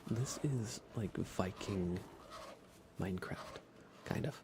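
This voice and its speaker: A man streaming ASMR in a soft, breathy whisper, chatting casually and gently while he plays a game. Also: deep voice, slow, noisy background. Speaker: livestream host. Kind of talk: ASMR livestream, casual chat while gaming.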